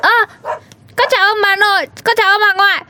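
A woman's voice: very high voice, fairly even intonation, brisk, over loud music. A woman playing an anxious young daughter who whines and frets. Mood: worried. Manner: whiny, childlike. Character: anxious young daughter, whiny and easily upset.

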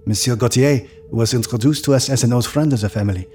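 Actor as a mellow, reflective grown son. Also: male voice, medium pitch, quick pace, soft background music, close to an everyday voice. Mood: reflective, measured. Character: reflective grown son recounting his parents' troubled marriage. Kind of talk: audio drama narration.

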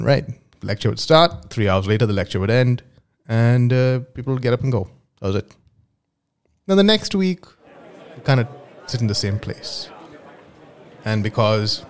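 A man's voice: lowish voice, a little mellow. Rambling young man imagining aloud. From Dubai. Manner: meandering, speculative storytelling. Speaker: podcast host. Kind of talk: casual podcast monologue.

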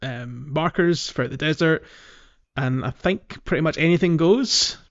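A man's voice: a little high, measured pace, dull audio. A man hosting a casual video game podcast, explaining things in a hesitant, rambling way. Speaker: podcast host.

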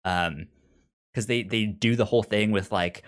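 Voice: low voice, measured pace. A podcast co-host chatting casually, with a stammer that often repeats sounds and words. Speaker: podcast co-host reviewing audio drama. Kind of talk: casual chat.

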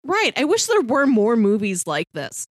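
A woman's voice: fairly high pitch, huge pitch swings, moderate pace, dry recording. Woman co-hosting, dry close sound. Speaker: podcast co-host. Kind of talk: casual movie discussion.

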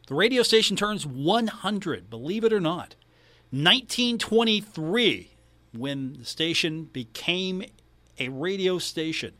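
A man with a fairly high voice hosts an American sports radio show. He talks conversationally and with enthusiasm, as if straight to his listeners. Faint music plays behind him. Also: fairly bright tone. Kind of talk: casual sports talk radio monologue.

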